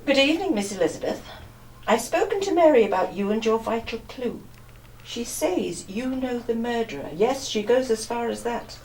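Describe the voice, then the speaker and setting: A woman performs a villainous police officer in a radio drama. Her somewhat high voice is courteous and condescending, with cool menace. She sounds some way from the microphone, with faint noise. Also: expressive, normal speed, a little mellow. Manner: polite, condescending, threatening. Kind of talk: live radio play acting. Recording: distant echoey hall, faint noise.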